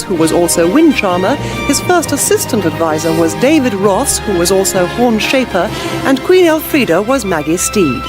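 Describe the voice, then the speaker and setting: Mock-epic radio announcer, played by a woman: formal, grand, animated intonation over music. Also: medium pitch, close to an everyday voice. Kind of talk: mock-solemn scripted radio credits announcing.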